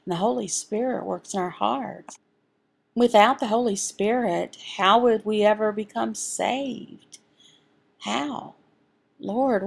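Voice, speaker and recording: A woman voicing a signed sermon as interpreter, in a halting, phrase-by-phrase delivery. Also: medium pitch, vocal fry, husky. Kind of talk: sermon voiced from sign language.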